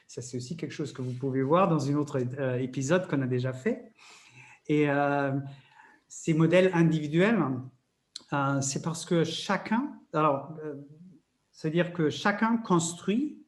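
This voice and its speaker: A man facilitator explaining a workshop method in a webinar, hesitant, with many fillers and restarts. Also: on the high side.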